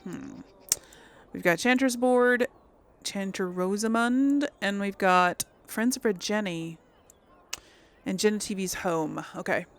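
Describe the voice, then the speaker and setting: Casual, creaky-voiced woman gamer. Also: mid-range voice, slow, pauses often. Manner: informal, thinking aloud. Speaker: game streamer. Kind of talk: casual let's play commentary. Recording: faint game sounds behind voice. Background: video game ambience.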